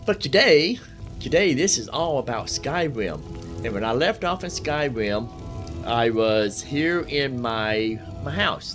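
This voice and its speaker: A man hosting a casual game livestream, rambling in a folksy way and repeating phrases for emphasis. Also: medium pitch. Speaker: live streamer, gaming host.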